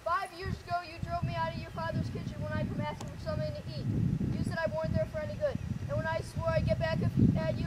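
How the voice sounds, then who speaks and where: A woman reading aloud, voicing a vengeful, menacing grown man with a low threatening growl. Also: heavy background noise.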